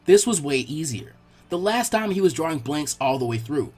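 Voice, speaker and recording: A man reading fan fiction aloud as an expressive scripted narration. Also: fairly high pitch, normal speed, slightly rough, faint music behind.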